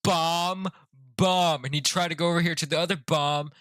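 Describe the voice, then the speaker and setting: A man's voice: a little high, steady tone, moderate pace, a bit bright, dry recording. A man streaming a game with casual, laid-back, joking and slangy commentary. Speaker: live streamer.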